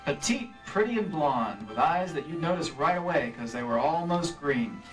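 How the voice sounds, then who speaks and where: An actor playing a weary, wisecracking insurance investigator in a 1950s-style radio play, recorded live on stage from a distance. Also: male voice, on the high side, animated, normal speed, a bit bright, faint music behind. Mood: wry, brisk. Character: weary wisecracking freelance male insurance investigator, narrating his case. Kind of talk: 1950s radio detective acting and narration. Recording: live convention stage, picked up from afar.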